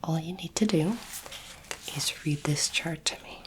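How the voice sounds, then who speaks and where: A woman whispers gently and breathily, playing a polite alien space doctor in an ASMR exam. Her delivery is measured, reassuring and very level in tone. The recording carries clear background noise. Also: deep voice. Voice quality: breathy, mostly unvoiced whisper. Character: courteous, curious alien space doctor examining a human. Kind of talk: whispered ASMR medical roleplay. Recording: noisy close recording, clicking sounds.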